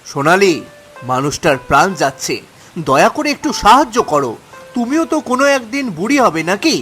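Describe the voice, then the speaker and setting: Man playing a high-voiced, earnest poor fisherman. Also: big pitch swings, a little fast, sharp tone, exaggerated voice. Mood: pleading. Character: kind-hearted, pleading, poor fisherman husband. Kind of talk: Bengali moral-story cartoon acting. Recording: music under the voice.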